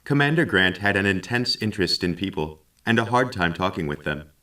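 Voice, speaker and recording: A calm artificial intelligence of a science fiction radio play, acted by a man. The voice is low and measured, helpful and knowing, and sounds set back from the microphone. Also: far from the mic. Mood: reflective. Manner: measured, matter-of-fact. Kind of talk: scripted audio drama acting.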